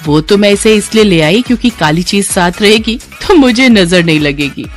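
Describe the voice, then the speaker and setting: A woman's voice: medium pitch, expressive, moderate pace, faint music behind, lightly acted. A woman voicing an arrogant rich young woman in a story, haughty and bossy. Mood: mocking, bossy.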